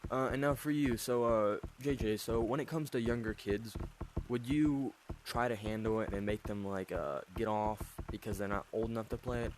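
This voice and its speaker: Teenage boy host, low deep voice, rambling questions full of fillers, noisy voice chat. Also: moderate pace. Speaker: host interviewing players. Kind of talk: casual online interview.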